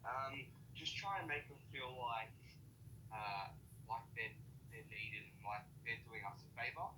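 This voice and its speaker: A man's voice: medium pitch, measured pace, background noise. A man chatting casually and a little hesitantly, with many fillers. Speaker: staff member. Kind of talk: casual chat.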